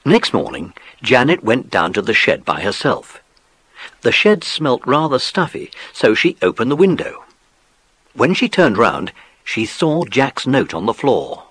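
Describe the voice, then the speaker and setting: A British man narrating a dramatised children's story in an expressive storytelling manner. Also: medium pitch, a little slow, breathy edge, no room sound. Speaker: audiobook narrator.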